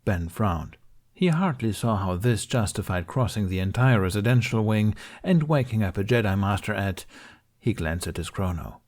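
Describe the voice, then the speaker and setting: A man's voice: fairly low pitch, animated, moderate pace, darkish tone. A man reading scripted fiction as an audiobook narrator.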